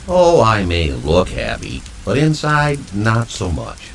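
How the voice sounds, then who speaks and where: Very low, gravelly gloomy dog. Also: male voice, slow pace, exaggerated voice, rough recording. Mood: glum. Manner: glum, deadpan. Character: gloomy pessimistic male talking dog. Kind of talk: comedic audio drama acting. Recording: faint noise with rain.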